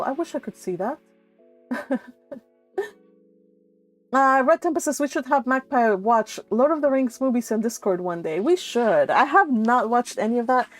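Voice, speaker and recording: A woman hosting a read-along livestream, chatting casually and reading out viewer comments in a gushing, giggly, rambling way. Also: high-pitched. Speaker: livestream host of a book read-along channel.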